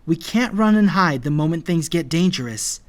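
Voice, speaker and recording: A man's voice: on the high side, expressive, moderate pace, voice pushed up, lightly acted. A man voicing a frightened but defiant boy hero, earnest, stubborn and urgent, in an audiobook story.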